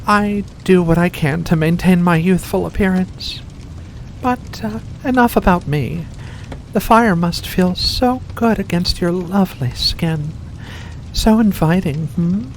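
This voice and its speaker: A woman's voice: lowish voice, measured pace, a little hiss or hum, low-quality recording. A woman voicing an ancient, seductive furry vampire in an ASMR roleplay, coaxing and genteel with veiled menace. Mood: silky, welcoming. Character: ancient predatory vampire with furry paws, seductive, faux-kindly. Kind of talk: ASMR roleplay addressed to the listener.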